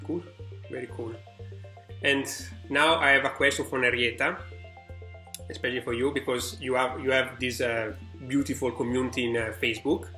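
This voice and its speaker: A man's voice: mid-range voice, bright, forceful tone, roomy sound. A man hosting an interview, asking questions and giving frequent short affirmations. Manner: frequent brief backchannel affirmations. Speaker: host.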